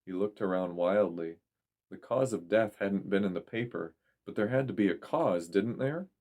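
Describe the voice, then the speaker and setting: Low voiced guy reading a suspense story like an audiobook. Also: moderate pace, a little mellow. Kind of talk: dramatic audiobook narration.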